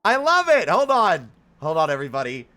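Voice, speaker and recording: Animated, higher-voiced man livestreaming. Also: brisk. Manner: playful, theatrical, rambling, with sing-song exclamations. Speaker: live streamer, host. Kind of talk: casual livestream chat with viewers.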